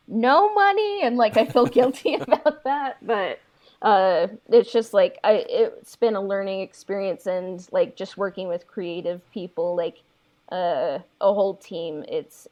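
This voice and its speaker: A woman's voice: medium pitch, darkish tone. A young woman talking casually about her creative project, tentative and reflective, often saying "like" as she thinks. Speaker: creator of a fan audio drama. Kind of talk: casual conversation.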